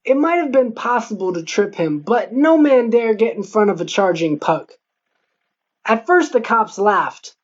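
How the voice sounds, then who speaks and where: A man's voice: high voice, expressive, unhurried. Male audiobook narrator, slightly dull sound. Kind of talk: scripted narration of a comic novel.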